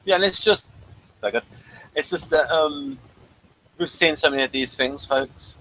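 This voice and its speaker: A man's voice: fairly high pitch, a little slow, sharp tone, rough recording. A man hosting a casual review chat, rambling along with jokey asides. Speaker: host of an audio drama review show.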